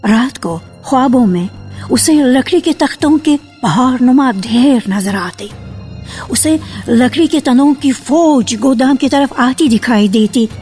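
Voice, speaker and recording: A woman reading a literary story for radio in a measured, expressive narrating style, on an archival recording. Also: high voice, soft background music. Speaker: narrator of a dramatized short story.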